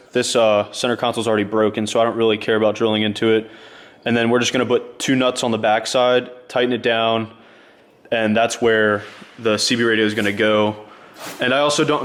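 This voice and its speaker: A man's voice: medium pitch, a bit bright. A man hosting a casual how-to vlog, talking in a laid-back, rambling way with lots of fillers. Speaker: channel host. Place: garage.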